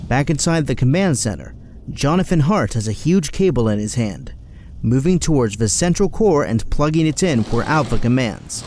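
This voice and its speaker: A man's voice: mid-range voice, animated, normal speed, a little hiss or hum. A man narrating an action audio drama in a dramatic, scripted style. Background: loud orchestral music.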